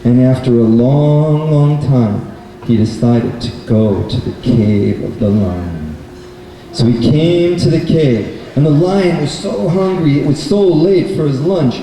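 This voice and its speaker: A man telling a children's story at a live puppet show, in simple, deliberate phrases with repeated words. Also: medium pitch, animated, dark tone. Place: public event space indoors, far from mic. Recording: very echoey noisy hall, distant mic.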